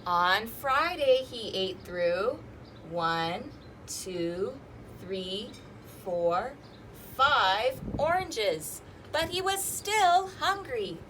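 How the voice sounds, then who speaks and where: A woman hosting a children's storytime, reading rhymes and picture books aloud in an animated, sing-song, exaggerated way. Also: fairly high pitch, drawn-out words, a little hiss or hum. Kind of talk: interactive children's read-aloud with rhymes and fingerplay.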